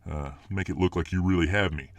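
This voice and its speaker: A man's voice: low voice, fairly quick, no room sound. A man playing a dominant, boastful, hot-tempered muscular fireman boyfriend in an ASMR roleplay audio drama. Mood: challenging, swaggering. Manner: boastful, cocky swagger.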